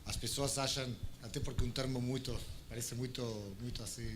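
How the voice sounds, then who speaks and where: A man from Argentina with an Argentine Spanish accent, speaking on a conference panel, halting with frequent restarts and fillers. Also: mid-range voice, little pitch movement, background noise.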